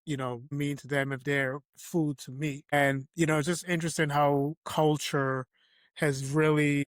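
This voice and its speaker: Host, man from Barbados; reflective storyteller, slightly high, level delivery, dry close mic. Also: little pitch movement, measured pace, smooth, dry recording. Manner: reflective storytelling, frequent "you know" fillers. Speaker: podcast host. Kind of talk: conversational interview.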